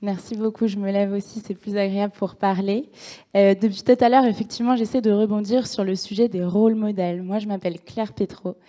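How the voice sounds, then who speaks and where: Woman, twenties, from France, entrepreneur, energetic, many fillers, handheld mic, large room, mellow. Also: medium pitch, a little fast. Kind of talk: standing presentation at a debate. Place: large room at a public event. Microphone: handheld microphone.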